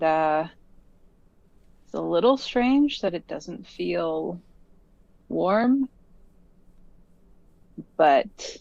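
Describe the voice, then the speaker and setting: A chatty player rambles through their character's actions with plenty of fillers. The delivery is slow, and the recording sounds dull and dry, as if from a lo-fi mic. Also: mid-range voice, creaky, no room sound. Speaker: tabletop game player.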